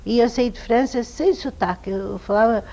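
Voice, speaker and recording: A woman with a European Portuguese accent tells stories as an interview guest, lively and amused at herself. Her voice sits a little high, and the recording is dull and lo-fi. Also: normal speed. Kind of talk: anecdotal interview storytelling. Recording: dull lo-fi TV sound.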